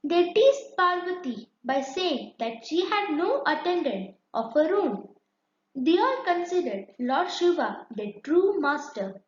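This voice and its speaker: A woman narrating a children's story in an expressive, deliberate storytelling style. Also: very high voice. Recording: roomy echo, dull lo-fi sound.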